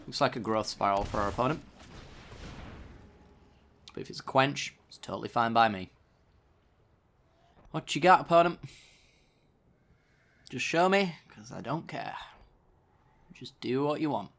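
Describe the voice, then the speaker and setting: A man's voice: mid-range voice, lively intonation, a little slow, pauses often, fairly bright tone. A man giving casual, chatty card game commentary with dry sarcasm, still getting over a tickly sore throat. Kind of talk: gameplay commentary.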